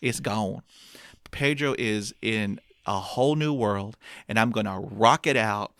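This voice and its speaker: Man in his fifties, stuttering, upbeat, repeats phrases, slow pace. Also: lowish voice, fairly bright tone, clean tone, dry recording. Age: 50s. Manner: stutters with repeated sounds and blocks. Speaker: guest. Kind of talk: interview conversation.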